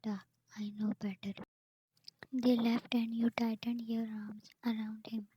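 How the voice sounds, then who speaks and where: A woman's voice: a little high, measured pace, husky, no room sound, low-quality recording. A woman whispering her way through a second-person fan fiction story, reading the dialogue along with who speaks. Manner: soft steady whisper, reads dialogue with speaker tags. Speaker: narrator.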